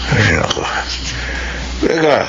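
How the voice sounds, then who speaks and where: A man's voice: mid-range voice, sing-song, takes it slowly, gravelly. Rich boss guy, friendly and generous, old radio drama, hissy lo-fi. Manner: hearty and generous. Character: generous wealthy businessman, Sir Zoilo. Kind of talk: 1970s-style radio drama acting. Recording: dull lo-fi radio recording with hiss.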